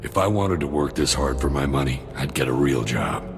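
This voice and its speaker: A sardonic mercenary soldier with an extremely low, rumbling voice and plenty of gravel in it. He delivers his dry, greedy schemes in a laid-back game-cutscene style, with prominent music underneath. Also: expressive, normal speed, full character voice. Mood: wry, grumbling. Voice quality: very deep, gravelly. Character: cynical, greedy mercenary soldier, alien arms dealer with dry humour. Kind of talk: video game cutscene dialogue.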